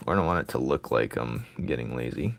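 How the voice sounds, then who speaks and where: Raspy man, low register, casual live-drawing streamer who rambles to himself and his viewers. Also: animated, fast, no room sound. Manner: rambling, thinking aloud, joking with viewers.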